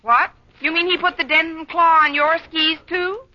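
An actress playing a wry, quick-witted schoolteacher with an American accent and a wisecracking way in old-time radio comedy. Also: female voice, extremely high pitch, steady tone. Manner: dry, wisecracking. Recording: dull old radio broadcast, studio audience behind.

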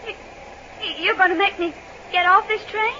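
A woman's voice: very high voice, steady tone, moderate pace, sharp tone. An actress playing an indignant, easily frightened lady train passenger with a Southern American accent, in old radio drama style. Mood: terrified pleading. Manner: huffy, theatrical, exclaiming. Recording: dull old radio recording, faint noise.

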